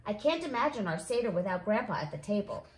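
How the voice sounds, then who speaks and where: Animated woman reading a storybook, echoey room. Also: medium pitch, fast talker. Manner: animated, acts out dialogue. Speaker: read-along host, storyteller. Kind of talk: expressive children's storybook reading.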